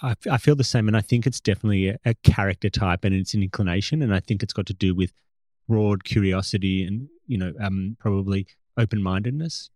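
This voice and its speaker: A man hosting an interview, asking questions and adding thoughtful comments in a measured, articulate way. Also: on the low side, darkish tone, no room sound. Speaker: interviewer, host.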